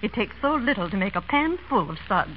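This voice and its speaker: A woman's voice: mid-range voice, very animated, brisk, close to an everyday voice. A woman playing a practical housewife giving an emphatic, matter-of-fact testimonial in an old radio advert. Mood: brisk approval. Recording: echoey, dull, hissy vintage radio.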